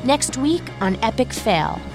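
A woman's voice: a little high, very animated, unhurried, over music, rough recording. A woman hosting a podcast in casual chat, laughing often. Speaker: podcast host.

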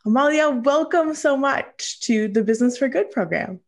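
A woman's voice: high voice, expressive, moderate pace. Upbeat woman podcast host. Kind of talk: podcast interview hosting.

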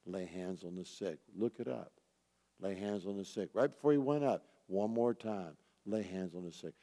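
A man with a lowish, mellow voice delivers an earnest sermon. He urges his listeners with pointed questions and repeated phrases. The sound carries the long reverb of a hall. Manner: earnest, urging, repeats key phrases for emphasis. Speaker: pastor teaching a church group. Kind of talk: sermon, exhortation with rhetorical questions.

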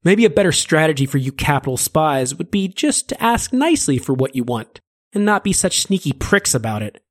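Mocking scarred demon-man with a high, lively, sarcastic delivery, done by a male narrator. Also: brisk. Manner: teasing, sarcastic.

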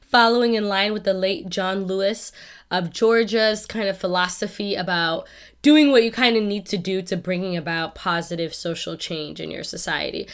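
A woman's voice: medium pitch, a bit bright, clean tone. A woman hosting a podcast, introducing her guest in an earnest, casual way with many hedging "kind of"s. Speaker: podcast host.

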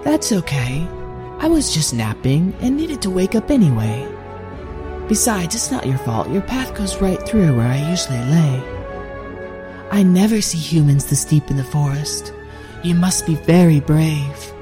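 A woman voicing a gentle, kind female unicorn in a children's story, speaking calmly and warmly. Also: low-pitched, measured pace, over music. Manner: calm, friendly.

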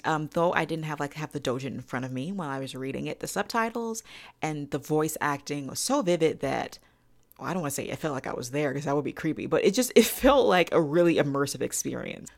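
A woman's voice: low-pitched, creaky. A woman hosting a podcast review in an enthusiastic, emphatic and playful way. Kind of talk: semi-scripted podcast review.

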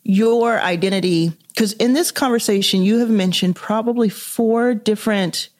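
A woman's voice: mid-range voice, normal speed. A woman hosting a podcast interview, thinking aloud in a casual, searching way with lots of "like" and "you know.". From Dallas, Texas, US. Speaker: podcast host.

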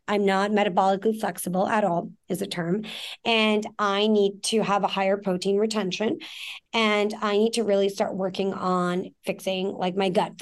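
A woman's voice: mid-range voice, a little mellow. A woman in her forties who grew up in Pakistan, talking frankly and at length as a podcast guest, with plenty of fillers. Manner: frank, talks in long runs with fillers. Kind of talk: candid conversational interview.

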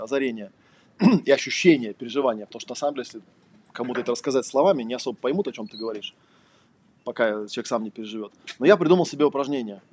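A man's voice: mid-range voice, slightly rough. A man giving a casual lecture, talking conversationally with lots of fillers and rhetorical questions.

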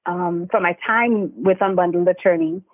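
A woman's voice: lowish voice, steady tone, moderate pace, no room sound. A woman from Trinidad and Tobago, an immigration attorney, telling her story as an interview guest with earnest fillers. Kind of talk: podcast interview, remote guest. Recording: tinny phone line.

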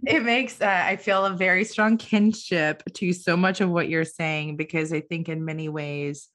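A woman with a slightly low voice, speaking as an interview host. She is conversational and affirming, mirroring thoughts back to the other person as she talks. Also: steady tone, normal speed, a bit bright. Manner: affirming, reflects back what was said.

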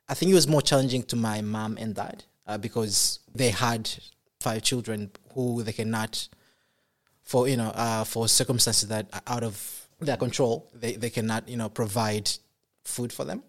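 A young man from Congo speaks as a podcast guest. He talks softly and haltingly, restarting his sentences and filling pauses with "um" and "you know.". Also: on the low side, steady tone. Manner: soft-spoken, halting with many fillers and restarts. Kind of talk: casual interview chat.

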